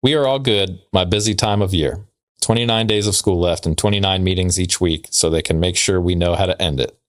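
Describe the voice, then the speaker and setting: A man's voice: low voice, a little fast. A man co-hosting a casual podcast, bantering and reading letters aloud in a conversational way. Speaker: podcast co-host.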